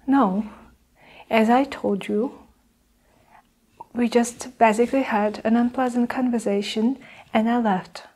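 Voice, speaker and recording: Guarded nurse under interrogation, played by a young woman: flat intonation, unhurried, measured, self-justifying, for ASMR roleplay. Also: on the high side. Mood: defensive, measured. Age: young adult. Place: small indoor room with curtain backdrop. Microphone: clip-on lapel mic.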